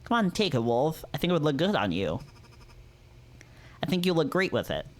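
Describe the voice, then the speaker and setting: A man's voice: a little high, sing-song, moderate pace, pitched above the performer's own voice. A man voicing an anthropomorphic fox, an assured and flirtatious date in a visual novel, over music. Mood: flirty, assured. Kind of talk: visual novel character read-aloud.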